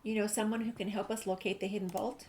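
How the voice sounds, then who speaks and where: A woman's voice: mid-range voice, level tone, fast, darkish tone. A woman giving informal, easygoing playthrough commentary and reading game text and dialogue choices aloud. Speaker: gaming video creator.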